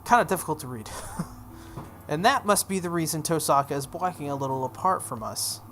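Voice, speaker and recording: A man's voice: on the high side, expressive, brisk, a bit bright, faint music behind. A man reading a visual novel aloud as narration, stumbling over the text now and then and dropping into casual asides. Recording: noticeable background noise.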